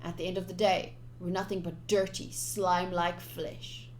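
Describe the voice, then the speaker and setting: A woman's voice: lowish voice, level tone, moderate pace, a little hiss or hum. A woman streamer reading visual novel text aloud, with casual commentary of her own. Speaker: game streamer. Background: game music.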